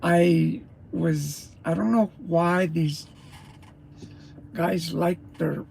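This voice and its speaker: A man's voice: fairly high pitch, fairly even intonation, extremely slow, a little mellow. A man, a truck driver, rambling through a casual morning livestream and greeting viewers as he chews his breakfast. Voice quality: gravelly. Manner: chewing while talking.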